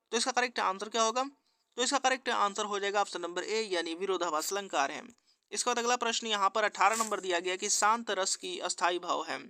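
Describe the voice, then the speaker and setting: A man's voice: high-pitched, pushed and bright, smooth, dry recording, studio quality. A man working through exam practice questions as a teacher, with an instructional, formulaic question-and-answer delivery. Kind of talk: scripted quiz walkthrough lesson.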